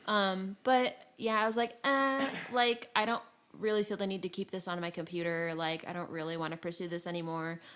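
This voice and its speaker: A woman's voice: on the low side, fairly even intonation, a little fast, hardly any pauses, a bit bright. A woman hosting a podcast, chatting casually about games in a giggly, conversational, self-deprecating way. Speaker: podcast host. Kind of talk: casual gaming podcast chat.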